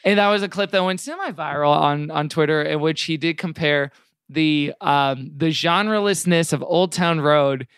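A man's voice: fairly high pitch, moderate pace, fairly bright tone, clean tone, dry recording, studio quality. A man co-hosting a podcast in a casual, irreverent, rambling style of joking banter. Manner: irreverent, mock-reverent, rambling. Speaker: podcast co-host.

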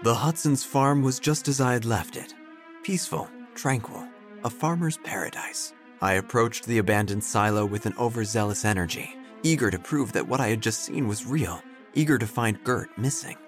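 An actor playing a melodramatic, self-important obituary writer who narrates an audio drama with theatrical pauses. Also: male voice, medium pitch, soft background music, close to an everyday voice. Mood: dry, dramatic. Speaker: narrator and protagonist. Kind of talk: first-person audio drama narration, gothic parody.

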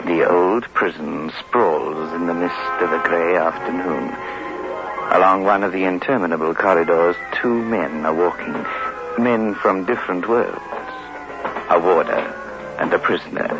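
An actor playing a courteous, sinister host of a 1960s radio horror series, narrating in a slow, theatrical, ominous way. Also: male voice, low voice. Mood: grave suspense. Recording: muffled old radio recording with music.

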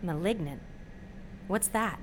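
A woman's voice: fairly low pitch, lively intonation, takes it slowly, slight background noise. An actress playing a sheltered, anxious young female college student who speaks candidly in a naturalistic audio drama. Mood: uneasy, wry. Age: young adult.

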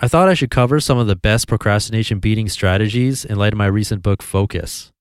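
A man hosting a podcast, calmly reading a blog post aloud in an even, easygoing way. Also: fairly low pitch, quick pace, clear, resonant tone, dry recording, clean studio sound. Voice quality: calm, even voice.

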